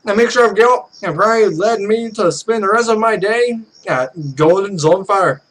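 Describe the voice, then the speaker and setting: A man's voice: high voice, moderate pace, a bit bright, low-quality recording. A man hosting a let's play, casually reading visual novel text aloud and commenting, often stumbling over the words.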